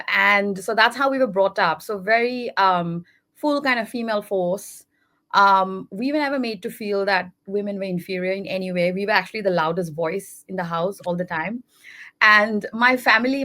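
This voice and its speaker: Guest, woman from India, mid-pitched voice, chatty and quick to laugh. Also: fairly bright tone. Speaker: podcast guest. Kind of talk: conversational interview.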